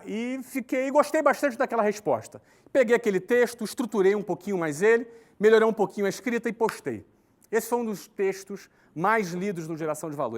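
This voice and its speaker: A man in his forties giving a conversational talk, often checking in with tag questions and listing points in an emphatic rhythm. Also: sits high. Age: 40s. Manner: emphatic, lists steps in a lively rhythm. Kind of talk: recorded talk to an online audience.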